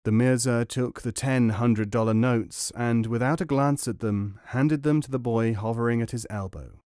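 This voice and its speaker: Measured male audiobook narrator, dry. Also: medium pitch, warm tone, clear, resonant tone, dry recording. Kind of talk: reading a novel aloud.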